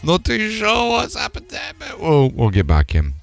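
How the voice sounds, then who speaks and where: A man's voice: high voice, wildly swooping pitch, moderate pace, creaky, soft background music. A man chatting casually as a game livestreamer, thinking aloud in an informal way. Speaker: live streamer.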